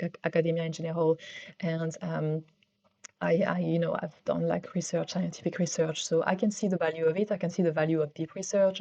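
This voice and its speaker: French-accented woman, low mellow voice. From France. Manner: chatty, with frequent restarts and fillers. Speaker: vlogger. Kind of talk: casual solo vlog talk.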